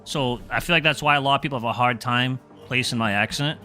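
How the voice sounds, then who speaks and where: Man, Boston accent, casual livestream chat, drops R's, average pitch, game music behind. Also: fast talker, sharp tone. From New England. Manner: rambling, filler-heavy, drops his R's. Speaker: streamer.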